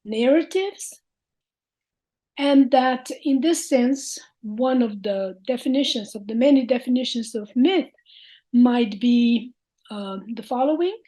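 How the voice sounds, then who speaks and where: A woman lecturing in English with a Slovene accent, speaking in a slow, reflective way with frequent hesitant fillers. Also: fairly high pitch, warm tone, low-quality recording. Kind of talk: academic lecture.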